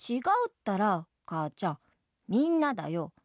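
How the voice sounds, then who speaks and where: A woman's voice: medium pitch, very animated, moderate pace, dry recording, clean studio sound. A woman voicing a small, whiny jellyfish boy who pleads childishly with his mother in a storybook. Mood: plaintive, pleading.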